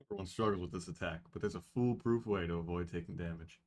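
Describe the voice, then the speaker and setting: A laid-back speedrunner walking through boss tactics in a low, even voice, as a man reads him. He sounds matter-of-fact and explanatory, like a game guide. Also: quick pace. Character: calm male speedrunner giving strategy tips. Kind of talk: fan reading over voice call.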